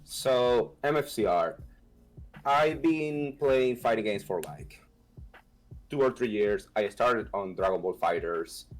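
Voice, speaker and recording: A young man from Venezuela speaks English with a Venezuelan Spanish accent in a lowish voice. He answers interview questions haltingly, pausing on fillers and starting over. Soft music plays faintly underneath. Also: poor audio. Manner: halting, hesitant, many fillers and restarts. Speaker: competitive fighting game player.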